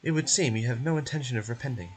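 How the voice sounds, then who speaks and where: A man's voice: mid-range voice, racing, lightly acted. A man voicing a cold, rigid prison officer in an amateur game dub, formal and menacing.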